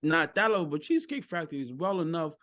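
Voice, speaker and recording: Lively man in his thirties, high and swinging. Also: quick pace. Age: 30s. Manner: stumbles and repeats words while thinking. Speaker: podcast guest. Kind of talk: casual opinionated chat.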